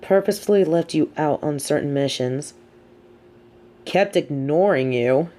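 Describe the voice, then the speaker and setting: A sardonic female supervillain, acted by a woman in an intimate audio roleplay. She speaks slowly in a soft, dark, slightly low voice, weighing words with theatrical poise. Her scheming, wry manner carries a grudging streak of sympathy. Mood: probing, intrigued. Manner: theatrical, measured, wry, drops into muttered asides.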